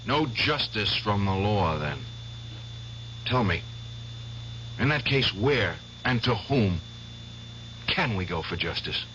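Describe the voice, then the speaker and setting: A bitter, exhausted ex-soldier in a radio play, intense and challenging. His low voice moves slowly with a hard, forceful edge. The old recording is dull. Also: animated, slight background noise, heavily acted. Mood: bitter, demanding. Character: bitter, obsessed, exhausted war veteran piano player seeking justice. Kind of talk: 1970s radio drama acting.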